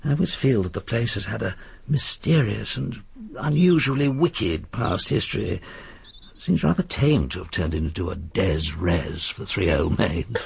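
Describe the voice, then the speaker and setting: An actor playing a worldly middle-aged English gentleman in a radio drama, husky and urbane, with measured, courteous speech. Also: lowish voice, expressive, exaggerated voice. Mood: urbane, genial. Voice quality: husky, gravelly.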